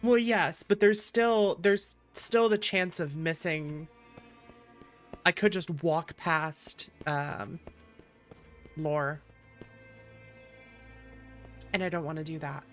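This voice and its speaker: A woman from the American Midwest with a lowish voice streams a game. She comments casually and reacts aloud. Faint music plays underneath. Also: slow.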